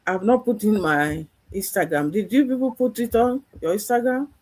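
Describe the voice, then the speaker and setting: A woman's voice: medium pitch, normal speed, darkish tone. A woman from Nigeria hosting a live online stream, speaking earnestly and often repeating herself. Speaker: host.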